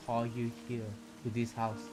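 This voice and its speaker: A man's voice: mid-range voice, monotone, a little slow, music in the background, low-quality recording. A man reading a visual novel aloud in a theatrical, playful way, with casual reactions in between. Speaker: streamer.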